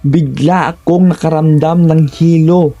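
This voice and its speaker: Male narrator for scary first-person tales: slightly high voice, mellow tone, measured phrasing. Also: fairly even intonation. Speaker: horror story narrator.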